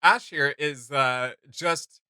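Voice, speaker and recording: A man's voice: a little high, slow pace, bright, forceful tone, smooth, no room sound, clean studio sound. A man hosting a reaction video, chatting in an informal, rambling way. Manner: rambling, giggly, informal, many "like" and "you know". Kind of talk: casual reaction chat.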